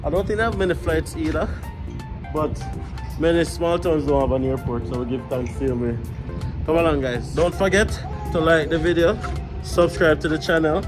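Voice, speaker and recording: A man's voice: fairly high pitch, moderate pace, music in the background. A man with a Jamaican accent, a family vlogger and father, chatting casually as he walks through a small airport. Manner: relaxed, chatty narration to camera. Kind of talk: casual family vlog walkthrough. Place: small airport terminal, indoors.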